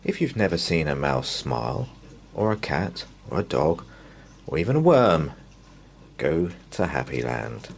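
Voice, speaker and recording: Low-voiced man reading a bedtime story, very expressive. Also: creaky, slight background noise. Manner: measured, drawn-out, expressive phrasing. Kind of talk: bedtime story read-aloud for young children.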